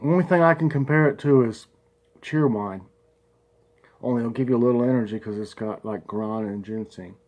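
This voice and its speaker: A man, a congested vlogger chatting folksily and slowly reading a devotional aloud. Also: mid-range voice, darkish tone. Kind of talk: casual home vlog with prayer and devotional reading.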